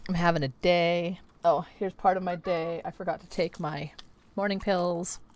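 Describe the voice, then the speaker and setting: A woman's voice: on the low side, fairly even intonation, normal speed, a little mellow. A young woman streaming from home, chatting casually with viewers in a rambling way, her voice a little scratchy today. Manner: rambling, filler-heavy, chatty. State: slightly scratchy voice. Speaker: livestreamer. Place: home streaming setup with green screen.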